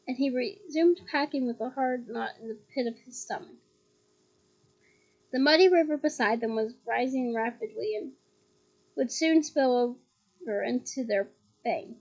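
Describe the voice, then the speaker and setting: High-voiced, slightly hoarse woman reading aloud. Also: unhurried, pauses often, darkish tone, highs cut off. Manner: chatty, giggly asides between read passages. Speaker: reader of a novel. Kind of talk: casual read-aloud with asides. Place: at home on her bed.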